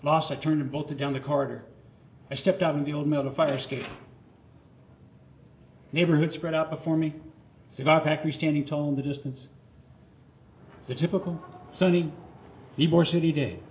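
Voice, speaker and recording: A middle-aged man narrates a personal story in live radio theatre. His fairly high voice is earnest and theatrical, building phrase by phrase. The room rings around him, with noise behind. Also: fairly even intonation, normal speed, pauses often. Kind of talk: live autobiographical monologue, scripted narration. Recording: roomy live theatre, clear background noise.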